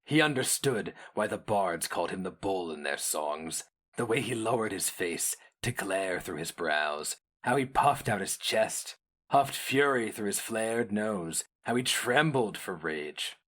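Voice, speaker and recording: A man giving a dramatic reading of a dark fantasy story, grave and theatrical, in measured phrases. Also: lowish voice, lively intonation. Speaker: narrator.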